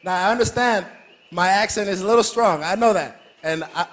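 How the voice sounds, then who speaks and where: A young man, a guest comedian on a studio stage, answering questions in a relaxed, humble way into a handheld mic. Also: sits high, a little fast. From Kenya. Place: large indoor studio stage with audience.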